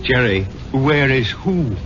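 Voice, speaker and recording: An actor playing a genial, philosophical barkeeper with a lilting manner in a 1970s radio drama. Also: male voice, medium pitch, expressive, takes it slowly, a bit bright, a little hiss or hum. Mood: concerned. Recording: dull vintage radio broadcast, music behind.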